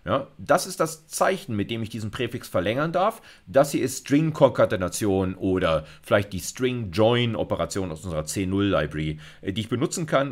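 A middle-aged man giving an informal university lecture, explaining step by step with check-in words and English technical terms. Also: on the low side. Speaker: university computer science lecturer. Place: indoors, recorded video lecture.

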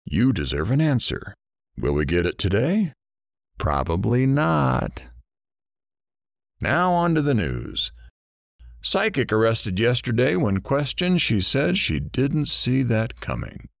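A man's voice: medium pitch, sing-song, slow, creaky, dry recording, heavily acted. A man playing a weary, self-mocking morning radio host in an audio drama, with a dry, sardonic delivery. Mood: dry, exasperated. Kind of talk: scripted audio drama broadcast.

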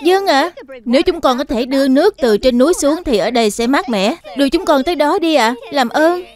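A performer voicing a cute, excitable young animal cub in a dubbed children's cartoon, speaking in an eager, childlike way. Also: high-pitched, fairly quick. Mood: eager pleading.

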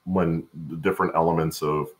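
Super deep gravelly guy on a video call explaining stuff, thinking out loud. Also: level tone, fairly quick. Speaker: guest, supply chain expert. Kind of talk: conversational interview answers. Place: home room, on a video call.

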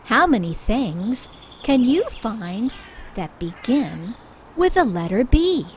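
A woman reading a storybook aloud for young children in an expressive, deliberate way, drawing out key words. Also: a little high, a little hiss or hum. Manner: expressive, deliberate, with drawn-out emphasis. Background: background music.

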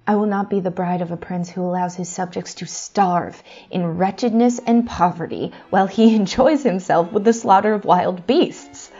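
Audio drama princess, female actor: young, proud, high-principled, declaims earnestly; dull lo-fi recording. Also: mellow, husky.

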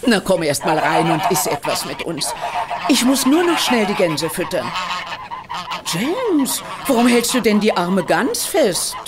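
An actress playing a hearty, no-nonsense sister who keeps geese, in an audio drama, bustling in manner. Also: female voice, medium pitch, sing-song, measured pace, very noisy. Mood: surprised, welcoming. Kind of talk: German audio drama acting. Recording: loud farmyard goose sounds behind voice.

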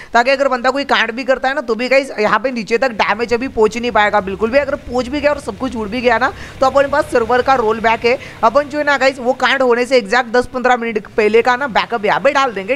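A man's voice: very high-pitched, a bit bright, a little hiss or hum. A young man hosting a game channel, giving chatty let's-play commentary that he fills with "guys" and "bhai" at every turn. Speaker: gaming channel host.

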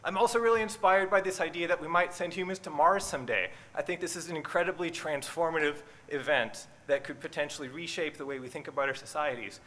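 A man's voice: a little high, fast talker, few pauses, sharp tone. A man closing his conference talk with an earnest, inspiring challenge to the audience. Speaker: conference speaker.

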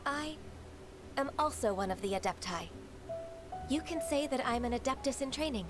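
An actress playing a gentle, earnest young woman in divine training who speaks softly and hesitantly. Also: sits high, animated, moderate pace. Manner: soft-spoken and hesitant. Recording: game audio with music behind. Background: music.

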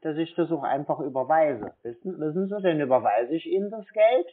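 A man with a slightly high voice plays the customer on a phone call. He sounds naive and keeps asking questions persistently. The audio is narrow and phone-like. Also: animated, a little fast, slightly rough. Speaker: prank caller posing as customer. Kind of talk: phone conversation. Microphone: telephone.

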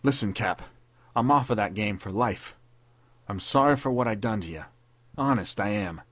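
A man's voice: on the low side, moderate pace, fairly bright tone, husky, dry recording. A man voicing a frightened young private, an ex-gangster who pleads and whines in street slang. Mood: pleading. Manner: whining, pleading, slangy, stammering.

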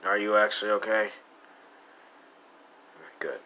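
A man's voice: lowish voice, slow, pushed and bright, a little hiss or hum. A man voicing a brooding young male villain with blue fire, muttering and sometimes whispering in a fan audio roleplay.

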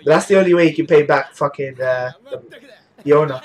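A young man with a hoarse, flu-worn voice, casually reacting to anime episodes with lots of swearing. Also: a little high, fairly quick. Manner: casual, swears a lot, coughs now and then. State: hoarse, getting over a flu. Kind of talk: live reaction commentary. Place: small indoor room. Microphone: webcam, headphones on.

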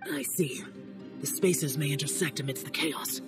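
A man's voice: on the high side, normal speed, music in the background, lightly acted. An actor playing an aloof, brooding young male guardian who speaks in terse, grave lines.

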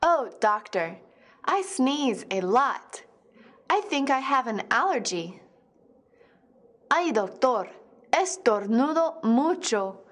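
A woman reading the lines of a patient telling a doctor about her symptoms, slowly and plainly. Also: sits high, very animated, fairly bright tone, exaggerated voice. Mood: complaining. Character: adult patient describing symptoms.

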